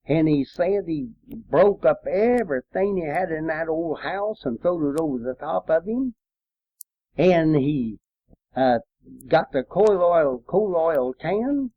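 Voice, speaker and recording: An elderly man with a rural American accent tells old stories at a very unhurried pace. His voice sits a little high for a man, and his delivery is folksy and halting, wandering along as oral history. The recording is slightly dull and lo-fi. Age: 80s or older. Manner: folksy, halting, plain-spoken. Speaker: World War II veteran recounting his service. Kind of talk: rambling oral-history storytelling. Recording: muffled, roomy, lo-fi home recording.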